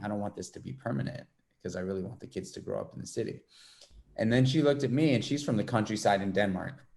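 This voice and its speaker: A man's voice: lowish voice, vocal fry, darkish tone. A man from New York City hosting a podcast interview, chatting in a loose, rambling way full of fillers. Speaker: podcast host. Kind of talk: casual interview chat.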